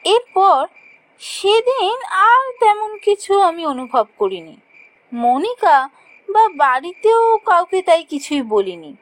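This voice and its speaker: A woman's voice: very high-pitched, sing-song, slow, heavily acted. A woman voicing an anxious young female office worker, telling a cartoon ghost story in a dramatic, hesitant way. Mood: uneasy, puzzled. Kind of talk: first-person cartoon ghost story narration.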